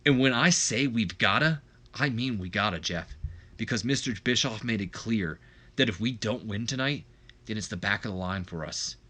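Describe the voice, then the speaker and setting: A man's voice: fairly low pitch, lively intonation, moderate pace, bright, forceful tone. A man playing a determined wrestler giving an insistent, emphatic promo to his tag partner.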